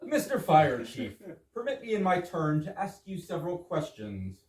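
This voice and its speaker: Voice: mid-range voice, sing-song, moderate pace, a little mellow, slight background noise, lightly acted. An amateur performer in a stage reading, playing an assertive, argumentative spouse with emphatic delivery. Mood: questioning. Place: echoey room at a live readers theater. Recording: echoey room, distant microphone.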